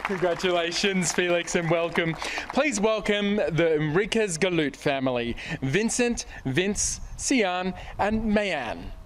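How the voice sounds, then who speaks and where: A man announcing names at an outdoor ceremony, speaking in a measured, welcoming way. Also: high voice, animated, a little hiss or hum. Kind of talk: formal announcing. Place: outdoors by a lake.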